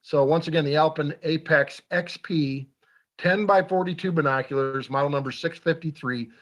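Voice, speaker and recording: A man's voice: a little high, normal speed. A man hosting a hunting radio show, talking casually and reading a sponsor special with lots of fillers. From Iowa. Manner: folksy, filler-heavy delivery. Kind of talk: casual radio talk with an ad read.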